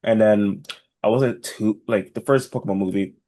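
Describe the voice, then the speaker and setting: A man's voice: fairly low pitch, steady tone, normal speed, warm tone. A young man co-hosting a casual podcast, speaking in a blunt, informal, slangy way.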